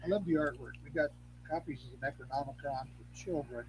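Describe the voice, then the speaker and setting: An older man talks as a podcast guest in a fairly high voice. He rambles casually and haltingly, repeating words for emphasis. A clear hum and noise sit on the recording. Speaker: podcast guest, elder of a witchcraft tradition. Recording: noisy line with hum.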